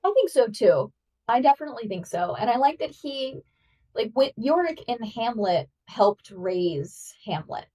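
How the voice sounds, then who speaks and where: A woman's voice: on the high side, sing-song, unhurried, dark tone, recorded from a distance, poor audio. A woman co-hosting a podcast in casual, chatty conversation, often saying "like". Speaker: podcast co-host. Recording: voice a bit off-mic.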